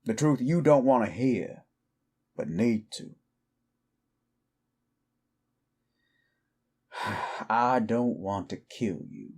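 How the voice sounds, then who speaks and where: An actor playing a weary, defiant cowboy outlaw werewolf in an audio roleplay, with a folksy, brooding American Southern or Western drawl. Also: male voice, mid-range voice, lively intonation, slow pace, a little mellow, slightly rough. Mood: warning, resigned. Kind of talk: slow dramatic roleplay monologue to the listener.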